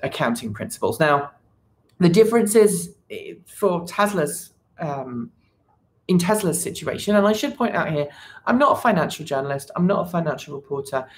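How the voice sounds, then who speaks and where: Woman streaming from her desk, kinda low voice, lots of ums and restarts, chatting with viewers. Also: animated, moderate pace. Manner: hesitant, many fillers and restarts; chats with viewers, reads the chat. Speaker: livestream host. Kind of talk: casual livestream commentary.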